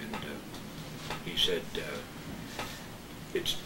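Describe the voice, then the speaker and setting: Noisy recording of halting older man. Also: very deep voice, little pitch movement. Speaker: guest. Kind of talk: casual anecdote telling. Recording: very noisy, echoey, distant mic.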